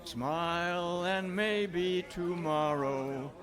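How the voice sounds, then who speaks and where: Very slow, gentle street singer, played by a man. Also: sits high, a crowd in the background. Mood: gentle, grateful. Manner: slow, courteous, half-sung. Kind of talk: 1930s radio acting.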